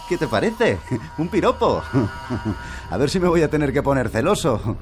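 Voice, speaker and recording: An actor playing an easygoing, trusting husband in a Spanish radio drama, chatty and teasing, with a Spain accent. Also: male voice, mid-range voice, big pitch swings, a little slow, music in the background. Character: easygoing, trusting, joking young farmer husband.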